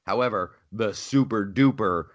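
A man co-hosting a podcast, in animated political chat, often repeating himself and mocking others in put-on voices. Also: fairly low pitch, unhurried, dull audio. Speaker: podcast co-host.

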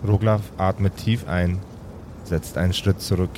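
A man's voice: deep voice, steady tone, a little slow, noisy background. A man narrating an improvised tabletop adventure as game master, switching between dramatic storytelling and casual asides. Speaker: game master and podcast host.

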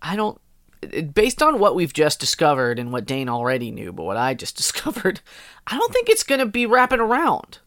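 Male comedy podcast co-host, playful and giggly, very animated pitch, dry close sound. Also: normal speed, no echo. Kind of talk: casual comedic chat, reading posts aloud.

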